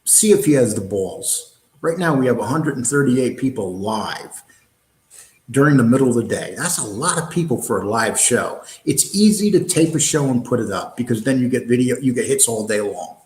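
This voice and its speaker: A New York-accented man hosts a live show and answers the chat in blunt, combative terms, at a mid-range pitch. His talk is full of fillers and restarts. The echoey room gives the voice a hollow ring. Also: animated, moderate pace, a little mellow. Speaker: host of a live online show. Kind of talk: live-stream chat, answering viewer comments.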